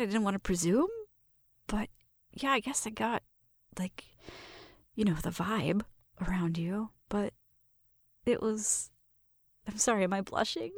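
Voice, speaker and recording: A woman acting as a shy but eager young female roommate with a crush, speaking close and dry in an intimate roleplay. She stammers, restarts her sentences and gets giggly with nerves, with some gravel in an average-pitched voice. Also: measured pace, pauses often, no echo. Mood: flustered, hopeful. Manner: nervous, stammering, giggly, self-interrupting. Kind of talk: intimate roleplay audio addressed to the listener.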